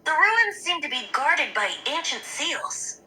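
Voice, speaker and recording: A woman's voice: sits high, big pitch swings, a little slow, sharp tone, slight background noise, exaggerated voice. A woman voicing a whimsical, youthful male bard in an anime fantasy game, theatrical and breezy like a storyteller. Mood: knowing.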